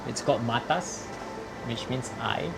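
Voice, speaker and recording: A man, a graffiti artist, explaining his work in a relaxed way with fillers in a documentary interview. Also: mid-range voice, a little slow, gravelly, music in the background, rough recording.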